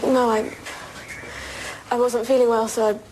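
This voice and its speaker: A woman's voice: a little high, level tone, slow pace, darkish tone, slight background noise. A British woman playing a guarded, upset schoolgirl with a hesitant manner in a naturalistic police drama.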